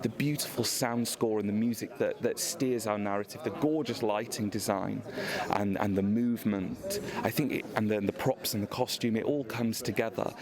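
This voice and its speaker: A man's voice: fairly low pitch, steady tone, normal speed, a crowd in the background. A man giving thoughtful, articulate explanations in an interview. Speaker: theatre interviewee.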